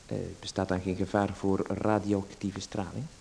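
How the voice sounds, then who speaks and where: A man's voice: sits low, flat delivery, moderate pace, creaky, darkish tone, slight background noise. An actor playing a polite, hesitant man who stammers while a salesman pushes him. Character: polite, hesitant well-known man being sold to. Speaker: radio drama actor. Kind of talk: radio play acting.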